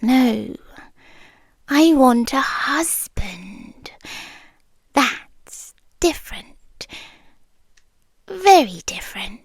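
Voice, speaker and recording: A British woman playing an amused, possessive immortal monster queen in an intimate, hushed ASMR roleplay, teasing and often slipping into whispers. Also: high voice, sing-song, drawn-out words, long pauses, breathy edge, no echo, studio quality, full character voice. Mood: amused, patronising.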